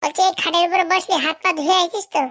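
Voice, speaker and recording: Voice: very high-pitched, steady tone, rapid-fire, pushed and bright. A performer voicing an eager, fawning young village boy who trails after his boss in a comic cartoon. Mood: chatty. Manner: deferential, chatty, fawning.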